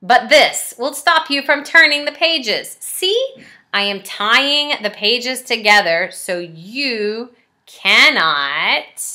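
A woman's voice: a little high, expressive, slow pace, pushed and bright, exaggerated voice. A woman voicing a timid, lovable furry male storybook monster in a pleading, melodramatic manner. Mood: determined. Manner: pleading, melodramatic, exaggerated emphasis.

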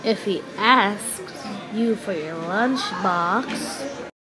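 A woman reading a children's picture book aloud, deliberately and phrase by phrase. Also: mid-range voice, lively intonation, music in the background, low-quality recording. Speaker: storybook reader.

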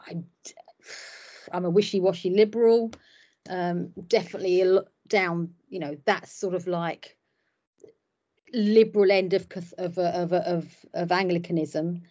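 A woman's voice: on the low side, steady tone, slow pace, a little mellow. A middle-aged woman whose first language was Cypriot Greek, chatting in a self-deprecating way with lots of fillers, recorded at home over a laptop webcam. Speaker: ordinand and hairdresser. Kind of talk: casual interview on a video call. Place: home room by a window. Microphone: laptop webcam on a video call. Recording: dull lo-fi video call audio.

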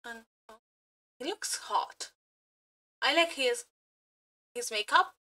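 A woman giving a casual live reaction as a streamer, excitable and giggly, swearing freely like a fangirl. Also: on the high side, slow pace. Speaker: streamer reacting to game character demo videos. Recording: music behind the voice.

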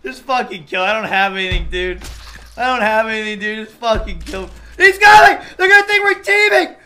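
A young man streaming a game from his home setup, shouting and swearing in panic. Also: high-pitched, animated, brisk. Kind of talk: frantic gaming stream chat.

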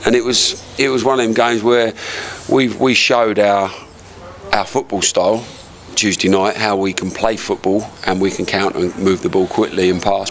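A middle-aged man, a football manager, talking quickly with many restarts in a post-match interview outdoors. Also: fairly low pitch, vocal fry, slight background noise. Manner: rapid, restarts his words. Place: outdoors by a football ground wall.

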